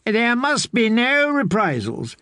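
A man performs a cautious lord for an audiobook. He sounds insistent and formal, with a high, lifted pitch and slow delivery. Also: huge pitch swings, pushed and bright, no echo, clean studio sound, exaggerated voice. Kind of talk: dramatic audiobook character voice.

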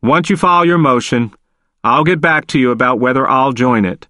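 A man voicing a calm, reserved male prosecutor who speaks in a measured, noncommittal way. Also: mid-range voice, no echo, clean studio sound, close to an everyday voice. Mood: guarded.

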